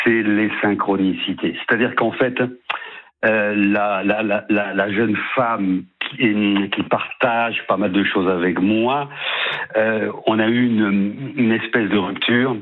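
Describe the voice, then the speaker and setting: A man in his fifties calling into a radio show, tired and halting, repeating words as he rambles. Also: on the low side, steady tone. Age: 50s. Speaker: caller. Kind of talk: phone-in confiding. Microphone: phone. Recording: tinny phone line.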